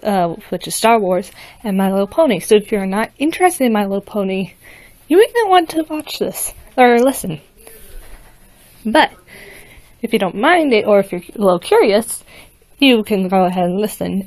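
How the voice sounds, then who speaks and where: A woman's voice: mid-range voice, a little mellow, dry recording. A woman casually reading a rhyming fan fiction story aloud, now and then stumbling and restarting a word. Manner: casual, slightly halting with restarts. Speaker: fanfiction reader.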